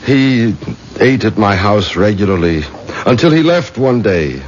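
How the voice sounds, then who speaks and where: A man's voice: fairly low pitch, lively intonation, unhurried. An actor playing an anxious, imploring father of a possessed daughter in a 1970s radio drama. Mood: troubled. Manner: imploring, anguished. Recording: dull, noisy 1970s radio broadcast.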